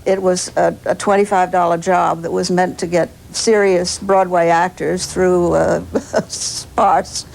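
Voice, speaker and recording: An elderly woman, a former actress, talking casually in an interview in a halting, modest, matter-of-fact way. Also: fairly low pitch, flat delivery, creaky, darkish tone, a little hiss or hum, low-quality recording. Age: 70s or older.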